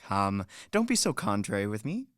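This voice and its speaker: A man's voice: on the low side, sing-song, normal speed. A man in a radio play acting a shrewd, self-important manservant who coaxes and lectures with emphasis. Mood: confiding, coaxing. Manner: wheedling, instructing, emphatic.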